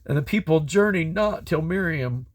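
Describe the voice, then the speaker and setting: A man reading scripture aloud in a solemn, deliberate way, breaking the text into measured phrases. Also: on the high side, lively intonation. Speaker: Bible reader. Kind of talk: scripture reading, King James English.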